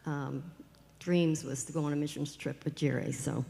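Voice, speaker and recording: A woman's voice: sits low, steady tone, normal speed, vocal fry, mellow. A woman on a church panel, talking in an earnest, self-deprecating way. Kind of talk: panel discussion. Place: church hall. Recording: echoey hall.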